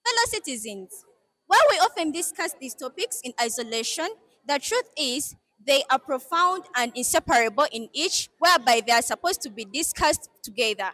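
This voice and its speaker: Cast a young woman, head girl, high and bright, speaking formally. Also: sing-song, moderate pace. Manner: soft-spoken in answers, formal oratory in speech.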